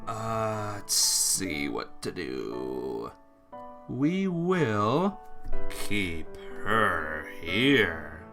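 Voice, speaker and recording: A woman's voice: very deep voice, wildly swooping pitch, extremely slow, over music. A woman reading visual novel narration aloud as she plays through the game. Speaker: visual novel player and reader.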